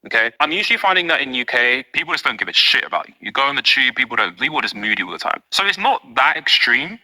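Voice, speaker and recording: A young man with a strong British accent talks straight to camera from his home. His voice is very bright and forward, his pitch sits in the middle range for a man, and he keeps it loose and chatty, full of "um" and "right?". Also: poor audio. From United Kingdom. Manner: chatty and direct, frequent fillers and rhetorical asides. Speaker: vlogger. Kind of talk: casual talking-to-camera vlog.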